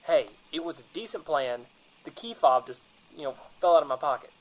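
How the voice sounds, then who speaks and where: A man's voice: a little high, lively intonation, brisk, natural voice, rough recording. A man playing a cocky, defensive, paranoid monster hunter in a comedy radio drama.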